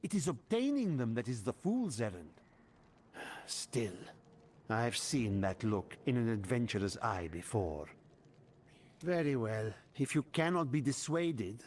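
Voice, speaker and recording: A man's voice: mid-range voice, a little mellow. A man voicing an irritable scholarly relic expert in a video game, curt, formal and grimly cautionary.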